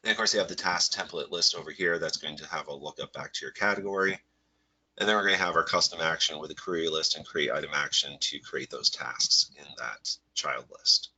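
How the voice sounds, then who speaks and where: Low, bright-edged nasal man with a cold. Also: brisk, husky, rough recording. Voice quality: slightly nasal. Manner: relaxed, rambling, filler-heavy explaining. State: head cold. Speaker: software workshop presenter. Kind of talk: live webinar walkthrough with screen share.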